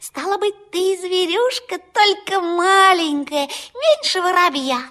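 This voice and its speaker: An actress playing a naive, excitable little female field vole in a children's audio play, exclaiming in wide-eyed wonder. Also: very high voice, slow, heavily acted. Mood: curious, amazed.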